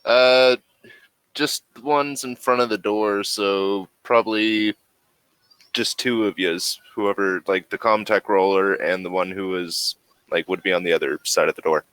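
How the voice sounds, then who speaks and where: A man narrating a tabletop role-playing game as game master in a casual, halting way, thinking aloud as he goes. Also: fairly low pitch, steady tone, a bit bright, clear, resonant tone, no room sound. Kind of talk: casual, improvised game narration and rules talk.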